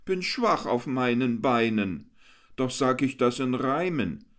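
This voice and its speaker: A man's voice: very animated, takes it slowly. A man voicing a hungry, cheeky male jester who teases and whines in sing-song rhymes. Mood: whiny. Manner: high, whiny, sing-song rhyming.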